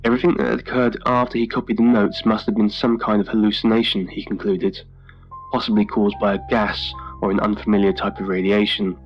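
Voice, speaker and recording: A man reading a scripted horror story in a measured, dramatic storytelling manner. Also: fairly low pitch, flat delivery, lo-fi sound. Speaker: story narrator. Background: music.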